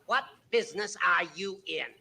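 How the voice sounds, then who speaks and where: An actor playing a crusty, irascible older judge with a creaky voice, sarcastic and domineering, in 1940s radio comedy style. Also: male voice, high-pitched, moderate pace, bright, forceful tone, exaggerated voice. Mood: sarcastic, commanding. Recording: noisy old radio recording.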